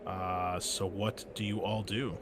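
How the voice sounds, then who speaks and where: A man's voice: deep voice, flat delivery, slow pace, background noise. A man narrating as game master of a tabletop role-playing game, rambling a bit with fillers as he describes the scene. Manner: loose, rambling with many fillers.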